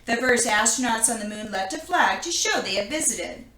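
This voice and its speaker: Female storybook reader, clear-cut bright tone, expressive read-aloud for young children, roomy echoing indoor recording. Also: fairly high pitch, moderate pace.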